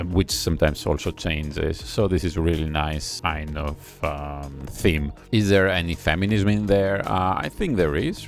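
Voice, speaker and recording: Very deep, gravelly-voiced man reviewing. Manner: unhurried, explaining step by step with many pauses. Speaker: music video reviewer. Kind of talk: casual reaction and analysis commentary.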